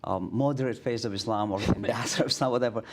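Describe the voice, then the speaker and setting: A man's voice: mid-range voice, fast. A middle-aged man with an Indonesian accent, a guest imam telling stories in a studio interview, earnest, with many restarts and fillers.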